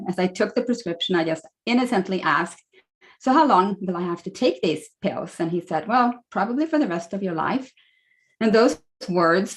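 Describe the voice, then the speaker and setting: Woman, Swedish accent, lowish voice, friendly, chatty with fillers, video call. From Sweden. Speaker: guest speaker, nutritionist. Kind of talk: presenting slides on a video call.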